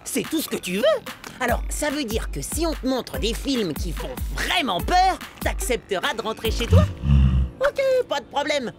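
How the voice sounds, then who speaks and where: Cartoon boy hero: high, lively, nervous, exclamatory, over loud music. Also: normal speed. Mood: casual, eager. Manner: dramatic, exclamatory delivery. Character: excitable young boy microbe, anxious but resourceful schoolkid. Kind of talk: French cartoon dubbing.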